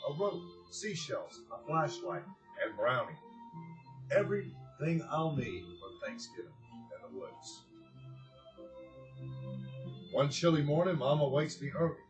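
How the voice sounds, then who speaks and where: A man speaking earnestly and deliberately with pauses, as a presenter giving a heartfelt address and storybook reading. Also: a little high, lively intonation, music in the background, rough recording. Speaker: director of a community organization, presenter.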